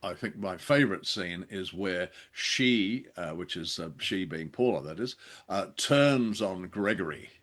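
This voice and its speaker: A man's voice: low-pitched, lively intonation, unhurried, a bit bright. A man co-hosting a radio show, commenting on old drama with wry, theatrical phrasing.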